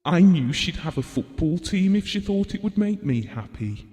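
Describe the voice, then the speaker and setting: A man's voice: high voice, very animated, normal speed, darkish tone. A man acting a devoted middle-aged husband in an audio drama, reminiscing tenderly and wistfully. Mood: wistful, fond. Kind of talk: theatrical audio drama monologue and dialogue. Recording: long hall-like reverb.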